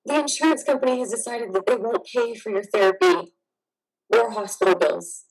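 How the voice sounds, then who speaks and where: Casting: actress for a soldier's devoted wife, higher-pitched, husky, weary and tender with an exasperated edge, stage drama. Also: female voice, moderate pace, rough recording. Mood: grave, reluctant. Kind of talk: stage-style dramatic acting.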